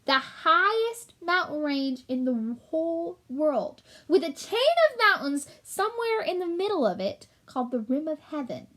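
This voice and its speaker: A woman's voice: high-pitched, big pitch swings, measured pace, hardly any pauses, a bit bright, pitched above the performer's own voice, exaggerated voice. A woman voicing a blunt, impatient young brownie girl in a storybook read aloud. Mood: hesitant.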